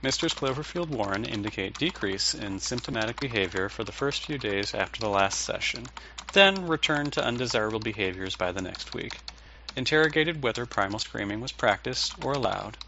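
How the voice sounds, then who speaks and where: A man's voice: lowish voice, lively intonation, brisk, creaky. A man acting as a blunt, sardonic therapist with a dry, probing way of talking in an audio drama. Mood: clinical, dry. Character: blunt, sardonic, unorthodox therapist man, older than he looks. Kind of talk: audio drama dialogue and dictated case notes. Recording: muffled with loud background noise.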